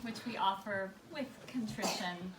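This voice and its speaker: A woman reading rhymed, scripted narration to a live audience as the presenter of a puppet show, in a storybook manner with deliberate pauses. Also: mid-range voice. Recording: distant mic, echoey room, noisy live recording.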